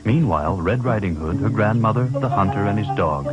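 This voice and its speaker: A man reading scripted fairy-tale narration in a measured storytelling manner. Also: fairly low pitch, lively intonation, natural voice. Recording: dull VHS soundtrack, loud music.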